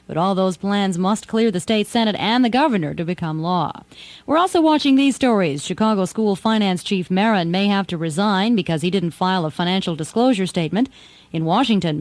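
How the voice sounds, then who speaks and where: A woman's voice: medium pitch, no room sound. An American woman reading scripted news as a radio anchor. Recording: 1980 radio broadcast aircheck.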